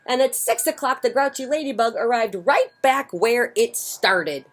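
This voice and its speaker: A woman hosting a children's story time, reading picture books aloud in a theatrical, emphatic way with swells and hushes. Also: fairly high pitch, moderate pace. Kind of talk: expressive picture-book read-aloud. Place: outdoors on a porch.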